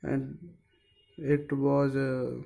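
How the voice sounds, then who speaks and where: Slow, halting man. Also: mid-range voice, flat delivery, vocal fry, mellow. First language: Punjabi. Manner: hesitant, searching for words, many fillers. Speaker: DIY maker. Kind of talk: casual how-to narration.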